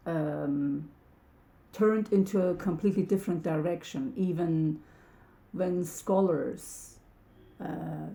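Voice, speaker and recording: A woman whose first language is Turkish speaks slowly and haltingly, often pausing on ums and starting over. Her voice is dark and gravelly. The room around her sounds echoey. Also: on the low side, steady tone. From Turkey. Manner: hesitant, many ums and restarts. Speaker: channel host. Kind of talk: casual talk to camera.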